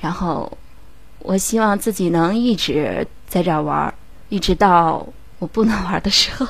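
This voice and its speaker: A woman's voice: lowish voice, moderate pace, vocal fry. A woman guest speaking reflectively and earnestly in a casual online chat. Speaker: amateur voice actress, guest.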